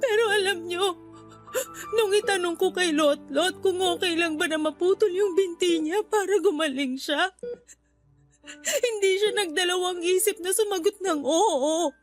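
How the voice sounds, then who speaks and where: A high-voiced, guilt-ridden mother speaks through tears in an emotional radio drama. Her voice breaks with sorrow over soft background music. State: crying, voice breaking. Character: tearful, guilt-ridden mother of a dying child.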